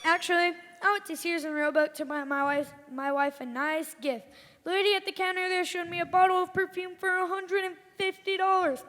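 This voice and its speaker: A high-pitched, jokey husband from a corny radio comedy duo , played by a woman, trading setups and punchlines in old-time stage banter. The recording is noisy, with the long reverb of a big hall. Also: fairly even intonation, a little fast, a bit bright. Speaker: comedy double-act performer.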